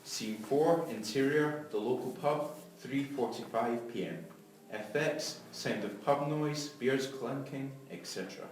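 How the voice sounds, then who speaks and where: A man's voice: mid-range voice, measured pace. A man reading scene headings and sound-effect directions aloud from a script. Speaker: stage directions reader. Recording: echoey room, far from mic, faint noise.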